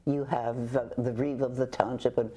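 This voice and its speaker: Older woman with a husky, mellow voice. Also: very deep voice, steady tone. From Canada. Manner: halting, with frequent restarts and hesitations. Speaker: interview guest. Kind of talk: reflective conversational storytelling.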